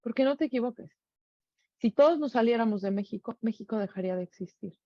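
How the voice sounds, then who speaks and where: A woman's voice: a little high, lively intonation, fast talker, dry recording, dull audio. A middle-aged woman with a Mexican accent giving an earnest reflective monologue, full of rhetorical questions. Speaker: speaker sharing reflections.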